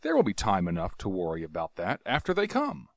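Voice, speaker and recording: A man's voice: on the low side, wildly swooping pitch, a little fast, gravelly, dry recording, studio quality, exaggerated voice. A man voicing a bold, impatient male warrior who speaks in a commanding way.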